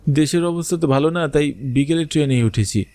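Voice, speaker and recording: A man's voice: on the high side, fairly quick, a little mellow, soft background music, natural voice. A young man playing a grown son who tells his own eerie village ghost story in dramatic, suspenseful scripted narration and dialogue. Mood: curious.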